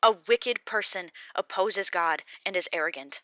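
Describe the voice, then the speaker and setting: A woman gives voice to Eliphaz, a stern, self-righteous man who lectures rather than listens. The delivery is firm and measured. The tone is bright and sits a little low, in a dry close reading. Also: no echo.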